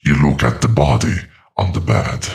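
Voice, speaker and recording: A man playing an ancient, patient demonic entity in a horror audio drama, speaking with calm, mocking, deliberate menace. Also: very deep voice, vocal fry, heavily acted. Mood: cold, patient.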